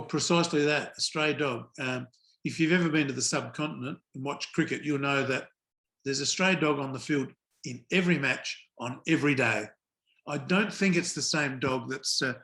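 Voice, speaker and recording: An older man, a guest telling anecdotes in a relaxed podcast interview, with dry understated humour and measured pauses. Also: mid-range voice. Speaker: guest, former cricket umpire.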